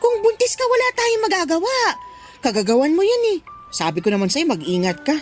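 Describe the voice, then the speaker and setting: High-voiced, blunt big-sisterly woman. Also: huge pitch swings, fairly quick, faint music behind, heavily acted. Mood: scolding. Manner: frank, chiding, questioning. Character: blunt, scolding older female coworker, a mother herself. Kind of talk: Tagalog radio drama acting.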